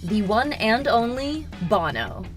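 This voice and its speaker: A woman's voice: a little high, sing-song, slow, over loud music. A woman reading scripted narration for an entertainment countdown video.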